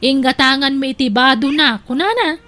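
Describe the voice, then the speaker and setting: A woman plays a nosy cousin in an audio drama. The voice is very high, with a chatty, inquisitive manner. She gossips and teases as she asks her questions. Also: expressive, moderate pace, bright, forceful tone. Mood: curious, teasing. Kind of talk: Ilocano audio drama acting.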